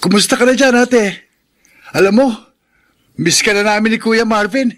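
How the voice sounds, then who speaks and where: A man's voice: high voice, moderate pace, fairly bright tone, faint music behind. An actor in a radio drama playing an anxious, affectionate younger brother who misses his sister. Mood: eager. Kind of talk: radio drama acting, emotional.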